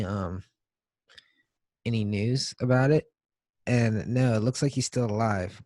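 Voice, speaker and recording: A man's voice: on the low side, a little mellow, dry recording. A man co-hosting a podcast in a casual, comedic chat, talking in profane slang. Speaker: podcast co-host.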